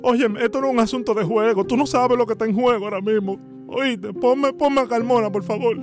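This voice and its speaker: A man's voice: very high-pitched, a little fast, soft background music. A man around his thirties with a Dominican accent on a studio mic, making a prank call as a confrontational, badgering customer. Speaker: prank caller, show host. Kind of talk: prank phone call, playing an aggrieved customer. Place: small home studio. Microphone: large boom-arm studio microphone.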